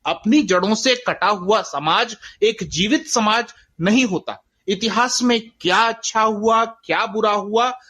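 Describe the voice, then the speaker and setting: A man from India with a voice pitched well above most men speaks as a guest novelist. He explains in an articulate, formal manner, unfolding his points like a storyteller in an interview. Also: measured pace, hardly any pauses, pushed and bright.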